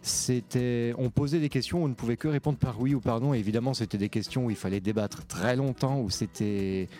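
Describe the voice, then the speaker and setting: A man's voice: mid-range voice, fairly quick, dark tone, faint music behind. A young man giving a casual, explanatory account in an informal documentary testimony. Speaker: participant in a theatre occupation.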